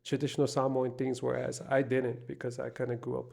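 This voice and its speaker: Gravelly-voiced man in casual conversation. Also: medium pitch, monotone, a little fast, a little mellow. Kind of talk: casual chat.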